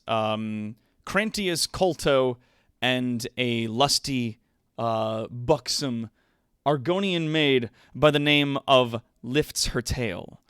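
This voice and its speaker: A man's voice: mid-range voice, a bit bright, clean tone, no room sound, clean studio sound. A man hosting a livestream, explaining things casually and playfully with some hesitation. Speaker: livestream host.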